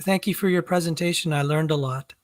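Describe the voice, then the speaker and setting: A man's voice: fairly high pitch, brisk, no room sound, clean studio sound. A man making polite, casual conversation with a fellow presenter. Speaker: debate participant.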